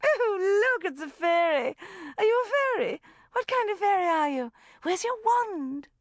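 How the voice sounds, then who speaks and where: A woman's voice: very high-pitched, very animated, measured pace, no room sound, pitched above the performer's own voice, full character voice. A woman voicing a gushing, maniacal grown woman who trills and gabbles playfully at a child, as an audiobook character. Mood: manic gushing.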